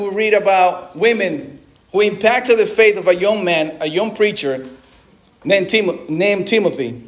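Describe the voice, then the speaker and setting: A man from the Dominican Republic preaching with a notably high voice. He talks to the congregation in a loose, conversational way, restarting words and dropping in casual asides. Middle-aged, a pastor in full sermon.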